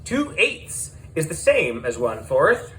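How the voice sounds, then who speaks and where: A man voicing an eager, clever schoolgirl in a children's story, calling out in an excited shout. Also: on the high side, slow pace, heavily acted.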